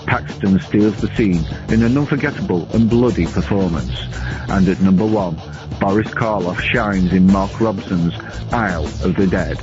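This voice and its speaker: A man's voice: sits low, normal speed. A man reading a scripted film countdown as a guest reviewer. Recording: dull lo-fi recording under music. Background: music.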